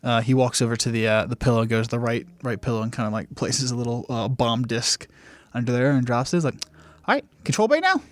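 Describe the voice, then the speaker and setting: A man's voice: medium pitch, flat delivery, quick pace, no room sound. A man narrating an improvised tabletop game in a casual, loosely descriptive way. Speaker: podcast player narrating his character's actions.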